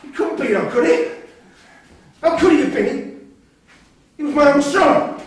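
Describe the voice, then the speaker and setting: Male actor for violent, grieving convict: foul-mouthed, accusatory, slow delivery, very roomy live stage sound. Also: extremely high pitch, lively intonation. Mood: anguished, bitter. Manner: coarse, sweary, accusing. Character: menacing male prisoner, grieving father turning violent. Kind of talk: staged reading of a prison drama. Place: theatre room at a live staged reading. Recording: distant, very echoey hall, faint noise.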